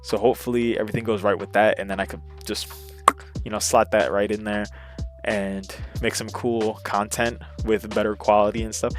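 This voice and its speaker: A man's voice: fairly low pitch, flat delivery, normal speed, music in the background. A man in his twenties rambling casually and hesitantly into an old webcam, with a self-deprecating manner. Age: 20s. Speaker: YouTuber.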